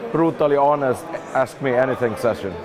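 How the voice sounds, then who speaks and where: A man's voice: medium pitch, fairly quick. A middle-aged man from Iceland giving casual interview answers, thinking aloud and repeating words as he goes. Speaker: interview guest, game publishing executive. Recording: busy event crowd behind the voice.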